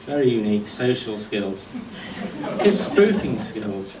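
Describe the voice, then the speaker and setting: A middle-aged man giving a eulogy as a speech of humorous anecdotes, told in a wry, unhurried way. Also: lowish voice, sing-song, crowd noise. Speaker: speaker giving a memorial tribute. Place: indoor function venue at a golf club, before an audience. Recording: echoey room, distant mic, faint noise.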